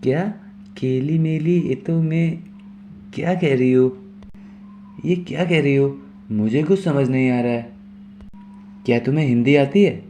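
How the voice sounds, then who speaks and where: A man voicing an earnest, easily flustered young son in an animated moral story. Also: medium pitch, measured pace, long pauses, slight background noise, voice pushed up, natural voice. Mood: smitten, confused. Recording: music behind the voice.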